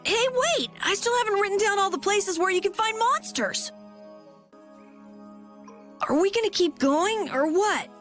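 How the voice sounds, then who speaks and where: A bubbly high-pitched young mapmaker. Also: female voice, animated, measured pace, pauses often, a bit bright, faint music behind, full character voice. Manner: bubbly, rambling. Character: cheerful, chatty young girl cartographer. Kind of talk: game skit voice acting.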